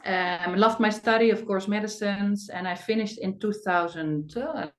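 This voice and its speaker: A woman's voice: medium pitch, normal speed. Chatty Dutch-accented woman in an echoey room. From Netherlands. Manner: chatty, with frequent fillers and non-native phrasing. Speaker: family doctor hosting a webinar. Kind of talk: casual webinar talk.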